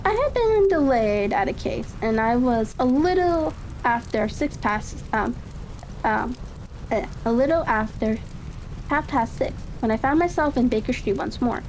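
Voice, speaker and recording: A woman calmly reading a classic mystery story in an unhurried ASMR style, sometimes halting over words. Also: fairly high pitch. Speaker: audiobook reader. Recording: steady rain-like crackling ambience behind. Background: fireplace crackle ambience.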